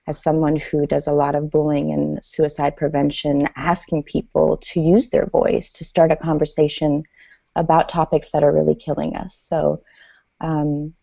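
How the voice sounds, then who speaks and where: A woman from Iran whose first language is Farsi, speaking low and warm with a gravelly edge. She reflects at a measured pace, pausing on frequent ums. The podcast recording is dry and slightly dull. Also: no room sound. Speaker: guest author and speaker. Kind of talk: podcast interview, personal story. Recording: dull lo-fi sound.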